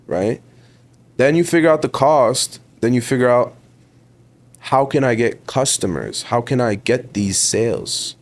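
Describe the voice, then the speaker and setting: A man in his twenties from Ontario with a Toronto accent, a podcast guest talking in an emphatic, motivational way, repeating words for stress and calling the host bro. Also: medium pitch. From Ontario, Canada. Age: 20s. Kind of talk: casual interview talk.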